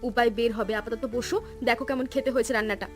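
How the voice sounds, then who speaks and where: A woman voicing a practical, caring village wife in a Bengali cartoon story, brisk and affectionately scolding. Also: on the high side, fairly even intonation, soft background music, close to an everyday voice.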